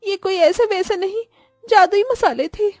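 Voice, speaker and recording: A woman acting an expressive, melodramatic household woman in a family cartoon story. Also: extremely high pitch, unhurried, no room sound, studio quality, faint music behind. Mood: distraught.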